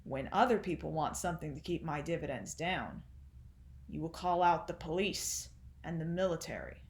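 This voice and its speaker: A woman's voice: low voice, expressive, normal speed, echoey room. A woman playing a cynical, cruel arms-tycoon father in a stage monologue, with mocking, pompous, theatrical delivery. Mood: imperious, menacing. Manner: sneering, pompous, theatrical oratory.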